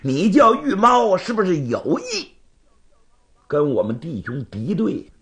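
A haughty young male swordsman, as a storyteller acts him out, brusque and quick to threaten. His pitch is fairly high and leaps dramatically, with a gravelly creak underneath. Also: wildly swooping pitch, a bit bright, full character voice. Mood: challenging. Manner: brusque, imperious, confrontational. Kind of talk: Chinese storytelling performance.

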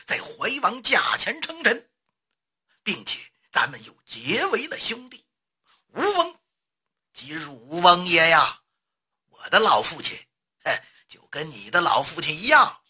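A woman storyteller voicing a shameless, taunting warlord king with a sneering, drawn-out delivery. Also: on the low side, bright, forceful tone, husky, heavily acted.

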